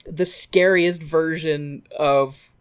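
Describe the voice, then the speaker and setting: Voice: medium pitch, steady tone, very slow, smooth. A podcast co-host gives a casual, comedic recap of a musical film. The delivery is sweary and theatrical, breaking into mocking acted-out quotes.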